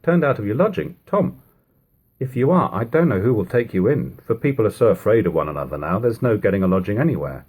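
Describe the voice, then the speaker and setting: A man plays a worried old soldier turned baker in a storybook tale. He is frank and emphatic, with a husky voice that swings widely in pitch. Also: animated, quick pace, a little mellow. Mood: urgent, worried. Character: worried biscuit-maker, old soldier, frank and urgent brother. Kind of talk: storybook character dialogue.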